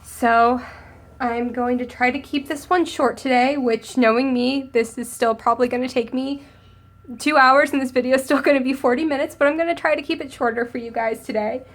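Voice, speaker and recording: Slightly hoarse, chatty young woman. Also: high-pitched, fairly even intonation, a little fast. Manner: chatty, upbeat, thinking aloud while crafting. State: slightly hoarse and sniffly from a cold. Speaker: planner vlogger. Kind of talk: casual plan-with-me narration.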